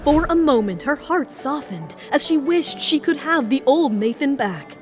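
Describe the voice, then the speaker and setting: Female narrator, high voice, dramatic scripted storytelling, audio romance, faint music underneath. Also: lively intonation, a little slow.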